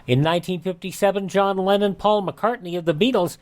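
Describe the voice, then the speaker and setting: A man works as a radio host, narrating dates and notable events. His pitch sits in the middle, and he delivers items as an announcer reading out a list. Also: fairly quick. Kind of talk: this-day-in-history radio narration.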